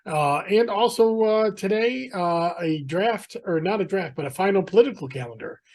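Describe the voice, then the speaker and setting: Halting man hosting a video-call interview. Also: high-pitched, animated. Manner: halting, many filler sounds. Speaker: podcast host and elections commissioner.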